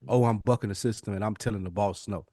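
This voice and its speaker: A man's voice: on the low side, steady tone, fast, mellow, no room sound, clean studio sound. An American man speaking as a podcast host in casual, opinionated discussion.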